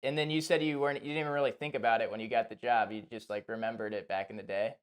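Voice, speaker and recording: Casual male co-host, medium pitch. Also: fairly even intonation, very fast, clear, resonant tone. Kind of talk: casual chat.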